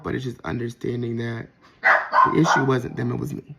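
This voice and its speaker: A man with a soft voice, talking reflectively in a casual personal monologue with frequent pauses and restarts. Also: mid-range voice, flat delivery, gravelly, very noisy. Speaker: vlogger.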